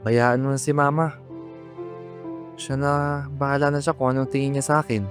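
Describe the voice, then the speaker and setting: A man's voice: mid-range voice, little pitch movement, moderate pace, close to an everyday voice. Weary, resentful Filipino breadwinner son played by a young man, earnest radio-drama delivery, mid-range voice over a music bed. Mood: resigned, gentle. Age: young adult. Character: weary, resentful eldest son supporting his family.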